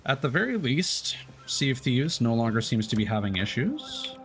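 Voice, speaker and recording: A man's voice: mid-range voice, big pitch swings, normal speed, a bit bright, slight background noise. A man casually talking through his gameplay as a streamer, chatty and thinking aloud with frequent self-corrections.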